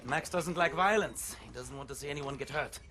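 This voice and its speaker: An actor playing an earnest, protective German resistance man with a German accent in a video game cutscene, gentle in manner. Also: on the high side, fairly quick, lightly acted. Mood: protective, explaining.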